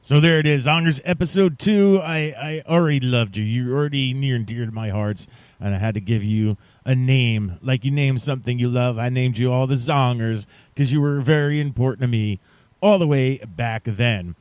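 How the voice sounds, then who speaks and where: A man's voice: mid-range voice, moderate pace, darkish tone, clear, resonant tone, no room sound. A man hosting a podcast, talking casually to his listeners in a chatty, playfully emphatic way. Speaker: podcast host.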